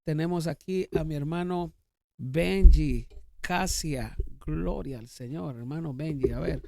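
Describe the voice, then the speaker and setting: A man's voice: on the high side, measured pace, creaky, mellow, no room sound, clean studio sound. A Guatemalan man hosting a podcast, talking casually with a guest in an interview style. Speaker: podcast host.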